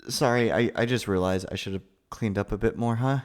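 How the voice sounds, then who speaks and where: A young man acting as a nervous, gentle, geeky roommate in an ASMR roleplay, stammering and rambling in a flustered, self-deprecating way. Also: lowish voice, fairly quick, lightly acted. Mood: flustered, apologetic.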